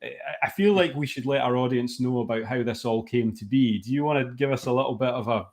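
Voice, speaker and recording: A man's voice: medium pitch, quick pace, a little mellow. A man hosting a podcast in casual chat, friendly, informal and complimentary toward his guest. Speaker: podcast host.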